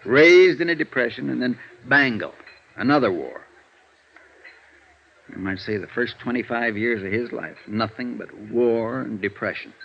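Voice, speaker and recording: Troubled, conscientious attorney, downcast and hesitant, medium-pitched, on a muffled vintage radio recording. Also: male voice, lively intonation, moderate pace, gravelly. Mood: somber, musing. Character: weary, conscientious male defense lawyer, troubled by his client. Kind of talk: 1950s radio drama dialogue. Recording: dull, faintly noisy old radio recording.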